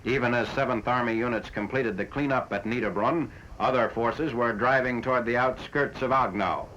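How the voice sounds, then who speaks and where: A man's voice: mid-range voice, sharp tone. Male narrator for a 1940s war newsreel, factual and formal, on a lo-fi, slightly noisy vintage soundtrack. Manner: formal, factual, measured delivery.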